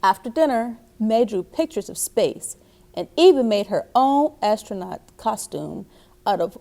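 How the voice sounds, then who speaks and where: A woman reading a children's story aloud in an expressive way, speaking directly to young listeners. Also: medium pitch, unhurried, creaky. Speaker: story time reader. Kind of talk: reading a children's picture book aloud with asides.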